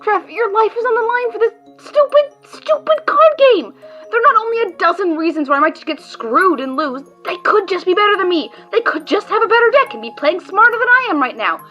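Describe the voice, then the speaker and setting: A woman's voice: very high-pitched, expressive, a little fast, sharp tone, dull audio, faint music behind, heavily acted. A woman playing a tense, sarcastic card player trying to save her friend in an audio drama, with a biting, dramatic delivery. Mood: frantic.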